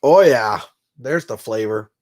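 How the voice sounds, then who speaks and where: A man's voice: mid-range voice, lively intonation, a little slow, darkish tone. A middle-aged man who is congested, rambling casually on a live stream from his own room and greeting viewers by name. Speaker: livestream host.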